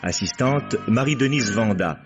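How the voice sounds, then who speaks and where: A man announcing radio drama credits in a formal, measured way, in the style of 1950s French radio. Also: mid-range voice, lively intonation. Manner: formal, measured listing of names. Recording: dull vintage radio, loud music behind. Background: loud theme music with guitar.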